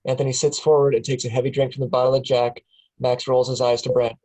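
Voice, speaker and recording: A man's voice: medium pitch, little pitch movement, fast talker, a little mellow. A man reading a screenplay's stage directions aloud at a table read.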